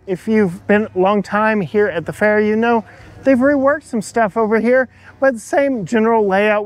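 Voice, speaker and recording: A man hosting a car channel, walking through an auto show and chatting enthusiastically about the vehicles while cueing his camera operator. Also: high-pitched. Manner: enthusiastic, chatty, gushes over details. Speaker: car reviewer and channel host.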